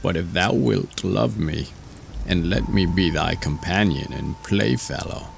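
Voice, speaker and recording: A man voicing a pleading talking frog in a fairy tale, with archaic, measured, bargaining speech. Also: deep voice, gravelly, a little hiss or hum, exaggerated voice. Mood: earnest bargaining.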